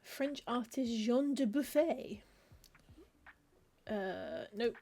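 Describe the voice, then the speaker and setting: Chatty woman, soft mellow voice. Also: on the high side, animated, drawn-out words. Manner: chatty, wry, muttering lists of titles to herself. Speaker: streamer playing a cozy game. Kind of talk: casual gameplay commentary, reading game text aloud.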